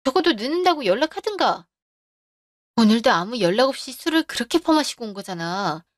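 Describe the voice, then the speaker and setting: A woman's voice: a little high, big pitch swings, a little fast, no echo, clean studio sound. A woman narrating a radio drama story as an anxious, pleading wife.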